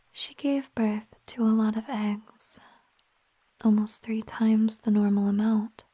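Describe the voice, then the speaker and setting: ASMR roleplay: wistful, devoted siren girlfriend, female performer, hushed breathy whisper, unhurried and mellow. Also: on the high side, no echo. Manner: slow, hushed, tender, hesitant.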